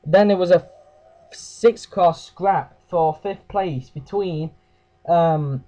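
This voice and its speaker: A man with a croaky voice giving a casual race recap, hesitant, with fillers and self-corrections. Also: sits high, takes it slowly, mellow. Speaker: race review host.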